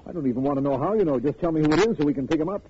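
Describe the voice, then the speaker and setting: An actor in a 1950s radio drama playing a matter-of-fact district attorney who is brisk, formal and a little impatient. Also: male voice, fairly high pitch, dark tone, close to an everyday voice. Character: businesslike, impatient district attorney, the detective's sidekick. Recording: dull, faintly noisy old radio recording.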